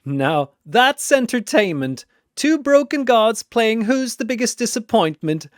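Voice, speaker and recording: A man's voice: very high-pitched, big pitch swings, no room sound, clean studio sound, voice pushed up, heavily acted. A man voicing a mocking, theatrical triangle demon trickster in an audio battle story. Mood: gleeful. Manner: mocking, drawn-out theatrical delivery. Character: gleefully taunting manic triangle demon trickster.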